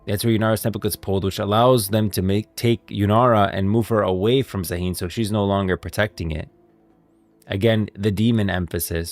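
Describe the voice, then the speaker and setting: A man hosting a stream, giving emphatic, explanatory commentary on video game lore, part read and part off the cuff. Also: lowish voice.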